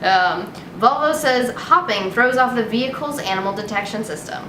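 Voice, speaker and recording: A woman's voice: on the high side, moderate pace. A young adult woman, hosting in a casual comedic style, chatting and reading news articles aloud with informal, giggly delivery. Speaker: host reading news headlines. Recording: roomy echo with clear background noise.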